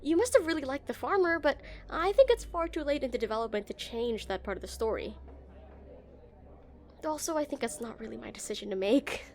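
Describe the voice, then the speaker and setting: A woman's voice: sits high, expressive, fairly quick. A woman playing an awkward, shy female game developer on a dinner date in a romantic audio roleplay, flustered and hesitant. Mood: gentle, curious. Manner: flustered, hesitant, stammering, giggly.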